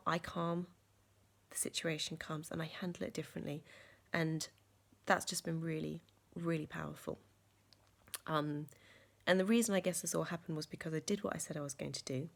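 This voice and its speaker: A woman, croaky from a sore chest, talking earnestly in a reflective vlog with many ums and restarts. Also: low-pitched. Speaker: vlogger.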